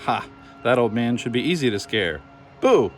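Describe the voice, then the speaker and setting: A man's voice: mid-range voice, big pitch swings, normal speed, faint music behind, lightly acted. A man voicing a hot-tempered ghost uncle in a storybook, eager to scare and exclaiming indignantly. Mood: eager, mischievous.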